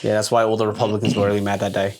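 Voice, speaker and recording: Sarcastic podcast co-host repeating words rapidly. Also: deep voice, level tone, a little mellow, slight background noise. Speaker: co-host of a tech news podcast. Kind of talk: casual comedic banter.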